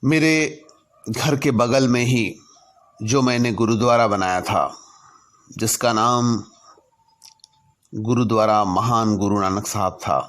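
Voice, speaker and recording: A man narrating a personal story in a deliberate way, in short halting phrases. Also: on the low side, a bit bright. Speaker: narrator telling his own anecdotes.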